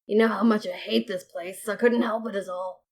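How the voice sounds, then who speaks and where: A woman doing a gruff, grumbling warrior woman. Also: mid-range voice, steady tone, a little fast, darkish tone, close to an everyday voice. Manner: grumbling, brusque.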